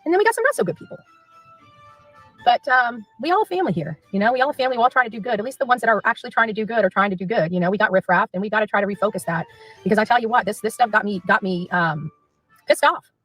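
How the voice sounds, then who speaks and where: A woman's voice: mid-range voice, lively intonation, racing, fairly bright tone, faint music behind, rough recording. A woman on an informal livestream rant, taunting and repeating phrases for emphasis.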